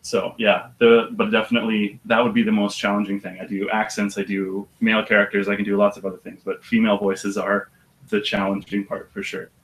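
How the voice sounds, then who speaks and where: Casual low guy, audiobook narrator, talks kinda slow and thoughtful, little hesitant. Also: rough recording. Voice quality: deep voice. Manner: relaxed, thoughtful, slightly hesitant with fillers. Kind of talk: casual interview chat.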